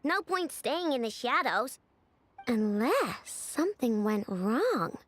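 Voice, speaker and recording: A brash young fighter from an anime game. She is blunt and casual, with a high, lively voice that moves around a lot. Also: slow, heavily acted.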